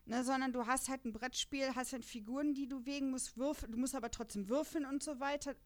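A woman's voice: sits high, steady tone, fairly quick. A woman with a German accent chatting casually as a podcast host, in a jokey, self-deprecating manner. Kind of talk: casual podcast chat about an audio drama series.

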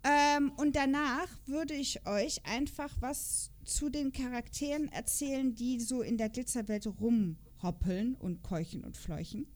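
A woman's voice: sits high, measured pace, few pauses. A woman hosting a podcast in casual chat about audio dramas, talking in a chatty, self-deprecating way. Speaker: podcast host.

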